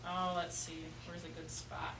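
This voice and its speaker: Lady teacher talking to little kids, encouraging, asks questions, pauses a lot, far from the mic w/ room noise. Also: fairly low pitch, completely flat delivery. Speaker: elementary school teacher. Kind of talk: classroom lesson talk to young children. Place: indoor classroom. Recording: roomy, noisy classroom, distant mic.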